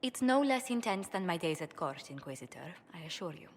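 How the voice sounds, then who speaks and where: An actress playing a poised, gracious noble diplomat in a game, speaking with polished formality. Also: female voice, lowish voice, very animated, brisk, heavy background noise. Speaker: ambassador and diplomat.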